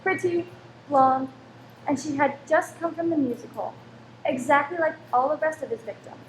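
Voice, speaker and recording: A high, fretful voice of a careworn Victorian landlady acted by a woman in a radio play. She is anxious and sharp-tongued, complaining dramatically. The room echoes, with faint noise behind her. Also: normal speed, close to an everyday voice. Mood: gossipy, morbid. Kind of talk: old-time radio play acting. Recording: roomy stage recording, faint background noise.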